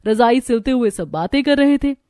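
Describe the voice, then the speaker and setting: High-voiced woman narrating a cartoon story. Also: animated, normal speed, warm tone, faint music behind. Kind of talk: scripted storytelling for an animated cartoon.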